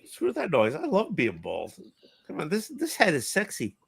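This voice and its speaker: A man hosting a casual live stream, his voice gravelly with a close, dry sound. He trades teasing, profane, affectionate banter with his friends. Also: a little high, huge pitch swings, moderate pace, a bit bright, no room sound. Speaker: streamer host. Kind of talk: casual chat.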